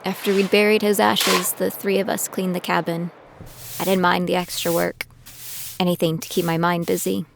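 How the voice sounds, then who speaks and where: A woman's voice: on the low side, level tone, moderate pace, vocal fry, noisy background. A woman playing a self-doubting young fighter who narrates her own story in an audio drama. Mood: somber narration.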